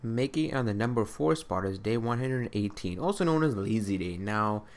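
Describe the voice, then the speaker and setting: A man's voice: lowish voice, lively intonation, moderate pace. A young man giving casual, rambling gaming commentary as he counts down his favourites, often putting questions to himself. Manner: rambling, self-questioning, conversational. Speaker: video creator counting down favourites.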